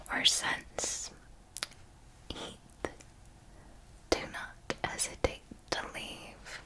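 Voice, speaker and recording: A woman playing an ancient female vampire mentor in an ASMR roleplay, speaking in a breathy whisper with a slow, deliberate, intimate and seductive manner. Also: on the high side, low-quality recording. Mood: tender warning.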